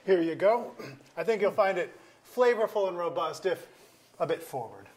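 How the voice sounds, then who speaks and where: An actor plays a middle-aged husband with a fairly high male voice. He is wry, joking and resigned in a naturalistic stage comedy reading. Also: lively intonation, normal speed. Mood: genial. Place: auditorium stage.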